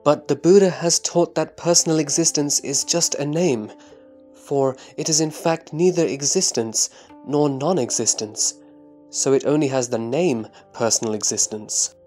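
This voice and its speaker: A man playing an earnest, humble disciple in a scripture audio drama, answering in a reverent, deliberate, formal way. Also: a little high, dark tone, soft background music, close to an everyday voice. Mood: respectful answering. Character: Subhuti, earnest humble male disciple of the Buddha.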